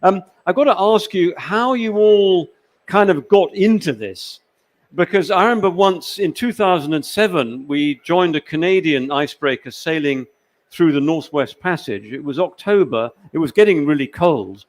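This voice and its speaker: Male panel moderator, voice a little high, chatty and encouraging, live interview style. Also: expressive, moderate pace.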